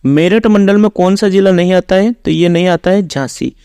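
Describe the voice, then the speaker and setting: A man's voice: high-pitched, moderate pace, mellow, clean tone, no echo, studio quality. A man working as an exam-prep teacher, reading out quiz questions and explaining the answers in an instructional, routine way.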